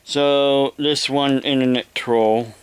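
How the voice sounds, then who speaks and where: An older man with an American accent delivers a rambling monologue, halting and deliberate, often repeating his phrases. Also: mid-range voice, fairly even intonation, clear, resonant tone, dry recording, poor audio. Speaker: evangelist vlogger.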